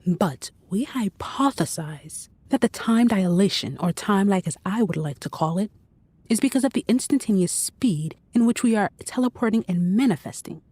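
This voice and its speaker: A knowledgeable tech guide in a sci-fi audio drama. Her voice is husky and mellow, recorded dry, as she explains theories. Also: mid-range voice, lively intonation, moderate pace, no room sound. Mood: matter-of-fact. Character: knowledgeable female tech guide with a scientific bent. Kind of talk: scripted audio drama acting.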